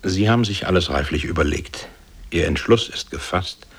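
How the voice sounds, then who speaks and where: An actor voicing a procedural instructor who restates each step in an experimental radio play. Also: male voice, sits low, animated, moderate pace, husky, exaggerated voice. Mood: matter-of-fact.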